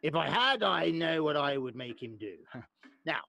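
A man's voice: fairly high pitch, big pitch swings, moderate pace, pushed and bright, exaggerated voice. An actor playing a crotchety old English lord and father in a radio play, sputtering and hesitant as he scolds. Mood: dry, disapproving. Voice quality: creaky voice.

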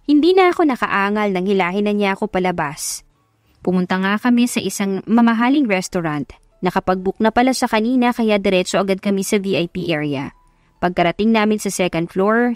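A woman's voice: mid-range voice, no room sound, clean studio sound. A woman reading a scripted first-person love story as an audiobook narrator.

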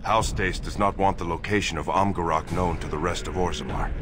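A man playing an earnest, grave dwarven nobleman in a fantasy game, speaking in a solemn, formal, measured way. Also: deep voice, fairly even intonation, background noise, natural voice. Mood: earnest, determined. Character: earnest, grave dwarven nobleman seeking his lost brother. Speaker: video game character narrating and in dialogue. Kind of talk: dramatic scripted game dialogue and narration. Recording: game audio under loud music.

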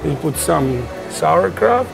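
A middle-aged man from Poland speaks English with a Polish accent, searching for words and laughing easily. His voice is somewhat high and gravelly. Lively music plays in the background of the restaurant. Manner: halting English with fillers, easy laughs. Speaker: restaurant manager. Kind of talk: on-camera interview. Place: large log-cabin style restaurant, indoors.

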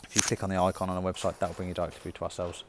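British male car dealer, lowish voice, informal showroom walkaround, chatty sales delivery. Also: monotone, very fast, background noise. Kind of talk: walkaround sales presentation, semi-casual commentary.

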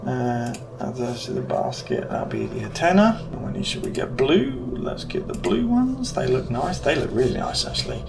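A man's voice: mid-range voice, sing-song, moderate pace, hardly any pauses, noisy background. A British man in his thirties, casually walking through his home cockpit build, thinking aloud with ums as he shopping-lists parts. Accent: British English. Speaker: hobbyist YouTuber. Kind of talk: casual build vlog walkthrough. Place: living room at home. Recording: clear background music under voice. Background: background music.

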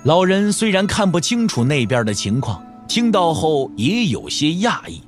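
A man narrating an audio drama from a script in an expressive storytelling manner. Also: a little high, normal speed, soft background music.

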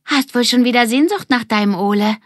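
A woman's voice: fairly high pitch, brisk, no echo, clean studio sound, pitched above the performer's own voice, natural voice. A woman voicing a cheeky, teasing girl from a children's gang story, mocking and pert.

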